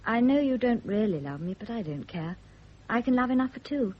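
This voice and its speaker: An actress playing a girlish, impulsive young British mistress, besotted and jealous, with a breathy voice, in radio drama style. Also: fairly high pitch, expressive, a little fast. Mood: adoring. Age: young adult. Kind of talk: 1970s radio drama acting. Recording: muffled vintage radio drama recording.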